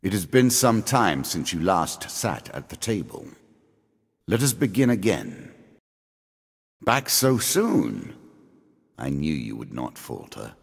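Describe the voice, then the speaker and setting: An actor playing an ancient, immortal dealer in a fantasy card game, speaking in a theatrical, measured, ominous and condescending way. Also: male voice, sits low, big pitch swings, gravelly, breathy edge, full character voice. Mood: measured, wry. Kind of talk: scripted fantasy game character lines. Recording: long reverb on the voice.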